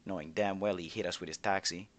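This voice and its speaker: Low-voiced man rambling through courtroom testimony. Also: fairly even intonation, quick pace, fairly bright tone. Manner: rambling, filler-heavy storytelling. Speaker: roleplayer as a defendant in court. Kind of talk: casual roleplay testimony.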